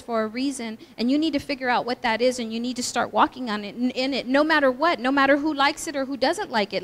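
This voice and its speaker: A middle-aged woman pastor with a high, girlish voice, giving a chatty, animated talk at a women's breakfast. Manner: chatty, animated storytelling with asides. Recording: hall reverb.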